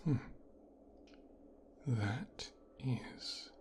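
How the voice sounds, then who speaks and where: A man playing a menacing, possessive, resentful mafia boss's son in an intimate ASMR roleplay, speaking in a breathy, brooding, teasing hush. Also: medium pitch, slight background noise. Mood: mocking, amused. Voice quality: breathy, whispered. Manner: slow, hushed, brooding, teasing.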